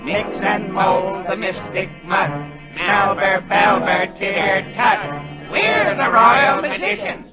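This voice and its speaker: An actor playing a solemn royal magician, chanting spells rhythmically in a 1940s radio drama. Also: male voice, sits high, expressive, slow. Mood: ominous chanting. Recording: dull 1940 radio recording, loud music.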